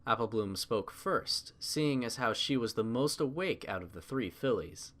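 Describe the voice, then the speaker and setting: A man's voice: medium pitch, animated, normal speed, a bit bright. A man reading a scripted story as its narrator. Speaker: narrator of a fan fiction reading.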